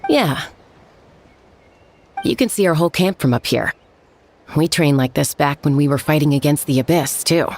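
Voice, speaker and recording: A woman playing an easygoing, candid young female warrior in a video game, with a creaky edge to the voice. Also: low-pitched, animated, moderate pace, long pauses, a little mellow, a little hiss or hum. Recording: faint game music behind the voice.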